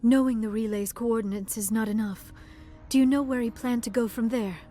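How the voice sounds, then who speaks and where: Compassionate young daughter, played by a woman: higher-pitched, earnest, pleading, video game drama, light score behind. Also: fairly even intonation, fairly quick, warm tone, soft background music, natural voice. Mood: urgent.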